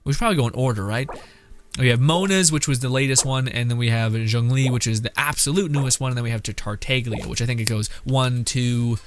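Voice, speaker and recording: A young man giving casual, rambling gameplay commentary as a streamer, thinking out loud. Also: medium pitch, fairly quick, slight background noise. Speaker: game streamer.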